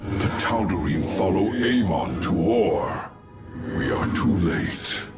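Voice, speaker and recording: Wise old alien warrior for a game, low slow grave voice, music loud behind. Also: male voice, very animated, exaggerated voice. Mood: grim urgency. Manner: grave, measured, formal. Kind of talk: dramatic sci-fi game acting.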